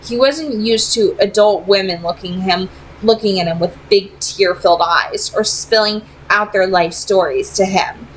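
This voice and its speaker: A woman's voice: mid-range voice, a little slow, a little hiss or hum, rough recording. A woman narrating fiction as an amateur book reader. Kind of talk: read-aloud fiction narration.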